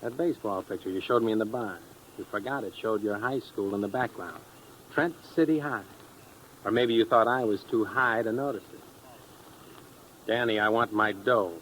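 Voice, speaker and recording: An actor playing a sardonic, menacing blackmailer in a 1950s radio crime drama, with a taunting, slangy manner. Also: male voice, fairly low pitch, normal speed, fairly bright tone, close to an everyday voice, rough recording. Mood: taunting, threatening. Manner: taunting, hard-boiled, slangy. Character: menacing, sardonic male blackmailer, cool tough crook. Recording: old radio recording, faint noise.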